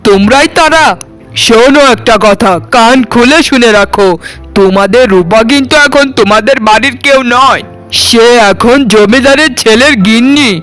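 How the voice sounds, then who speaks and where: A righteous young cartoon nobleman, played by a man, speaks in a notably high male voice. He is formal, declamatory and protective, with music running underneath. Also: a bit bright, low-quality recording. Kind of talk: Bengali cartoon voice acting.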